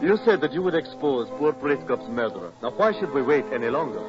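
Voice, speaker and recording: An actor playing a dignified foreign ambassador in a formal, declamatory 1940s radio style. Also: male voice, mid-range voice, animated, a little fast, close to an everyday voice. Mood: formal, expectant. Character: dignified foreign male ambassador, proud husband. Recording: dull 1940s radio recording, music.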